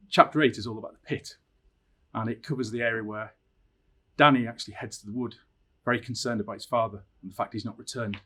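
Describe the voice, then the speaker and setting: A middle-aged man, a teacher, talking about books and reading a story aloud with expressive, dramatic emphasis. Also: on the low side, breathy edge. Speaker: science teacher reading a favourite book aloud. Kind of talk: personal introduction and storybook reading.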